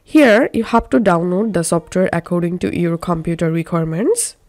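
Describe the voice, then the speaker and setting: A woman narrating a step-by-step software tutorial in a deliberate, instructional manner. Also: lowish voice, animated, no echo. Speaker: tutorial presenter.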